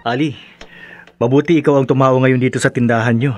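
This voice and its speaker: A man's voice: medium pitch, steady tone, fairly quick, faint music behind, close to an everyday voice. A man playing an earnest, courteous young boyfriend in a radio drama. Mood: tender, bashful. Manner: earnest, gently insistent, polite.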